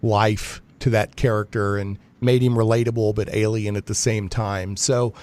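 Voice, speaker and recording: A man's voice: on the low side, flat delivery, normal speed, darkish tone. A man hosting a casual live radio interview in a chatty, enthusiastic way. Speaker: radio show host.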